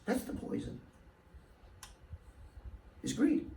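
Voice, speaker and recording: A man's voice: slow, mellow. A man giving an impassioned, emphatic public talk to an audience, his voice sweeping up on key words. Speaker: guest speaker. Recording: echoey hall, mic far off, faint noise.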